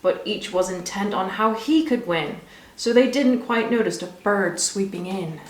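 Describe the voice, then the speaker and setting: A woman reading a rhyming children's story aloud with expressive, rhythmic delivery. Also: medium pitch, a little slow, a little hiss or hum. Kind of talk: scripted storybook narration for children. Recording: echoey room.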